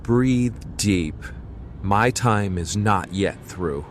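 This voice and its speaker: A man's voice: fairly low pitch, very slow, heavy background noise. An actor playing a weary, resigned man stricken with plague in an audio drama, speaking solemnly.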